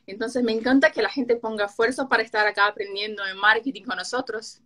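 A woman's voice: a little high, fairly even intonation, a little fast, sharp tone. A woman from Brazil with a Uruguayan-Argentine Spanish accent and Portuguese as her first language, hosting a casual live-stream chat. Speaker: host.

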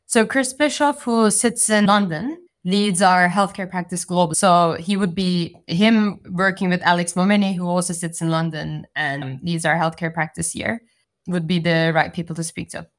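A woman's voice: on the low side, fairly even intonation, normal speed. A woman from Turkey, a venture capital partner, giving structured, articulate answers in an interview. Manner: articulate, structured explanations with frequent "so" and "you know".

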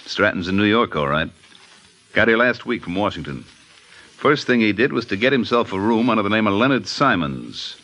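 Low, hard-edged shady private eye. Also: male voice, animated, pushed and bright, heavily acted. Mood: businesslike, shifty. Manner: slangy, brusque, fast-talking hustler. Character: hard-up, gambling, shifty male private detective. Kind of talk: 1950s radio detective drama acting.

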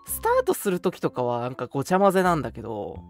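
A young man co-hosting a casual radio chat, telling stories in an animated way and acting out short quotes. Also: a little high, quick pace, vocal fry. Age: young adult. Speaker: radio show co-host. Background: background music.

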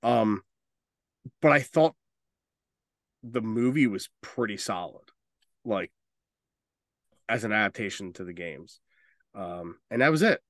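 A man's voice: on the low side, expressive, slow pace, pauses often, fairly bright tone, dry recording. Casual, rambling man, close dry sound. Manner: informal, swearing, rambling. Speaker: podcast co-host. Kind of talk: casual chat about video game movies.

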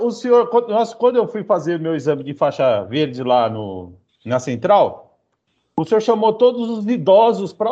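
High-voiced Brazilian man in his fifties, lo-fi. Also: darkish tone. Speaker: interviewer, host. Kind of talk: casual interview chat.

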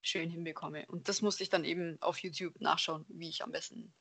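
A woman's voice: sits low, steady tone, a little fast, vocal fry, fairly bright tone, breathy edge, dry recording. Woman guest chatting in a close, dry sound. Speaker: podcast guest, hobby painter. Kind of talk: casual conversational interview answers.

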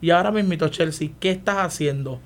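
The gravelly Puerto Rican man you'd hear hosting a local chat program, tossing easygoing slangy questions to a guest. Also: a little high, quick pace. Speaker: program host, interviewer. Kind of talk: casual interview chat with slang.

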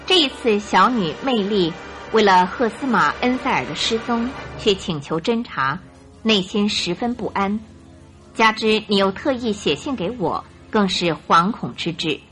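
Woman narrating a radio drama over soft music. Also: medium pitch, expressive, unhurried.

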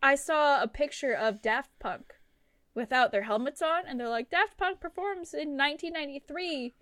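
High-voiced young woman, rambling podcast chat. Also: lively intonation, normal speed, a bit bright, dry recording, clean studio sound. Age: 20s. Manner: rambling, swearing, trailing off. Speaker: podcast co-host. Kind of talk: casual chat.

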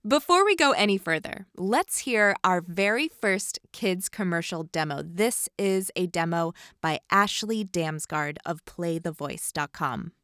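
A woman's voice: fairly low pitch, animated, unhurried, few pauses, a bit bright, clean tone, dry recording, clean studio sound. A woman co-hosting a podcast with a scripted, presentational delivery. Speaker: podcast co-host. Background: music bed.

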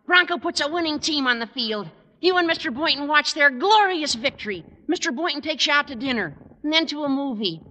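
An actor playing an eager, scheming high school boy with a squeaky adolescent voice and earnest, dramatic persuasion in old radio comedy style. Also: lively intonation, fairly quick, bright, forceful tone, full character voice, rough recording. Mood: dreamy, rapturous. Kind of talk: 1940s-50s radio comedy acting.

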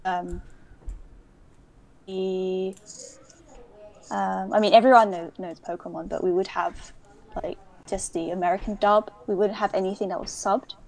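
A young woman talks casually as an interview guest. Her voice sits in an ordinary middle pitch, and she wanders from point to point, restarting her sentences often. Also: fairly even intonation, measured pace, gravelly, long pauses, darkish tone, low-quality recording. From United Kingdom. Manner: chatty and digressive, many restarts.

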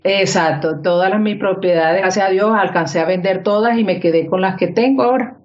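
A woman, raised in Colombia and born in Spain, speaking slowly and measuredly as an interview guest. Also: mid-range voice. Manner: slow, measured with fillers. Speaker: business administrator, guest. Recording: dull lo-fi sound.

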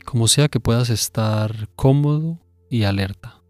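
A man narrating a scripted guided meditation in a measured, instructive way, pausing between phrases. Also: medium pitch, dry recording, faint music behind.

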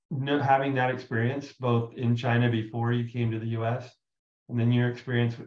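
A man's voice: medium pitch, darkish tone. A man hosting an interview, asking long questions that he often restarts partway through. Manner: halting, restarts his questions. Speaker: interview host. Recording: roomy, dull lo-fi sound.

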